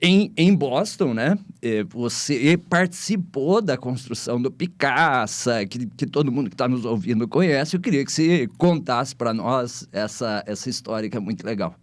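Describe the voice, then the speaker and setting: A man's voice: mid-range voice, lively intonation, normal speed, few pauses, no room sound. A man hosting a casual podcast interview, talking conversationally and often checking in with his listener. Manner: conversational, lots of confirming tags. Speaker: podcast host.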